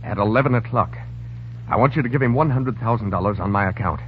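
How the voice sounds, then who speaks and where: An actor in a 1930s radio drama playing a cowardly, pleading crook with a stammering, nervous, placating manner. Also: male voice, on the low side, fast talker, close to an everyday voice. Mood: strained, subdued. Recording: dull, hissy 1930s radio recording.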